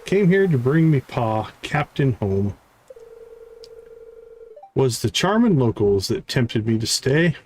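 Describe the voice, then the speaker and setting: A man's voice: medium pitch, very animated, takes it slowly, long pauses, a little mellow. A man voicing a guarded sailor's son from a video game, talking in folksy, ungrammatical seafaring speech. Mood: defensive, confessional. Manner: folksy, dropped-grammar sailor talk. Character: gruff, guarded sailor son grieving his father. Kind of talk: reading video game dialogue aloud.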